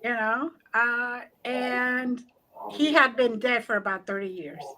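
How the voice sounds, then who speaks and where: A woman's voice: on the high side, takes it slowly, a crowd in the background, low-quality recording. A woman in her sixties from New Jersey with a slight Cuban-tinged accent, telling stories as a guest in a casual conversation and acting out the voices she quotes. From Newark, New Jersey. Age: 60s. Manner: animated, acts out quoted voices. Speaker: guest spiritual practitioner.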